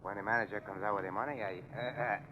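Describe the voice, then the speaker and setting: A man's voice: fairly low pitch, fairly even intonation, fast, slight background noise, lightly acted. A man acting a cocky, trigger-happy gunman in a 1940s radio crime drama, talking in casual slang. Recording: muffled 1940s radio recording.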